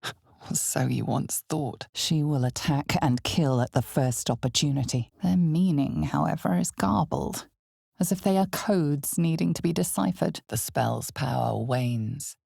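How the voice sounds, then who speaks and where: A woman playing an ominous, all-knowing narrator of a dark fantasy video game, speaking in a measured, dramatic way with hushed intensity. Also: sits low, gravelly, breathy edge, dry recording, clean studio sound, exaggerated voice. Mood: ominous, solemn. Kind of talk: scripted second-person fantasy game narration.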